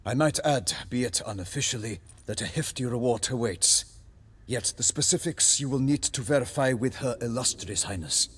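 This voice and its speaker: An actor playing a solemn knight errant in a fantasy game, speaking in a formal, florid, pompous manner. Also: male voice, mid-range voice, normal speed, fairly bright tone, slightly rough. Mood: formal, grave. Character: solemn, courtly male knight errant bearing a message. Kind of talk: cinematic fantasy game dialogue.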